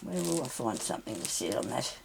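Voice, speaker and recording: A woman with a slightly raspy voice, recovering from a sore throat, chatting casually to viewers and to herself as she crafts. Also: extremely low pitch, very animated, brisk, very noisy. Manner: chatty, talks to herself while working. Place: craft table indoors.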